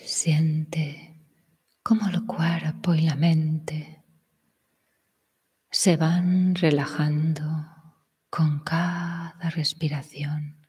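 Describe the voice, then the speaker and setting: A woman leads a meditation in a hushed, breathy half-whisper. She speaks very slowly in a low register and leaves long gaps between phrases. Her voice trails off into a long, lingering reverb. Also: little pitch movement. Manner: soft breathy whisper, slow pauses. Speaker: meditation guide. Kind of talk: guided meditation.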